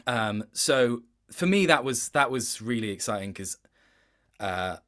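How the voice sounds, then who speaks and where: A man's voice: mid-range voice, animated, a little slow, fairly bright tone. A man chatting casually as an interview guest, reflective and hesitant as he thinks aloud. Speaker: guest, game voice actor.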